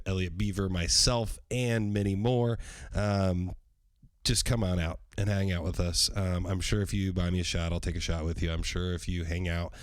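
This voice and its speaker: A man with a deep, hoarse voice hosts his own podcast livestream. He talks in a loose, rambling way, swears freely and fills his pauses with ums. The sound is dry and close, with no room around it. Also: dry recording. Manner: rambling and profane, with many ums. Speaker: podcast host. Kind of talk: casual solo podcast livestream.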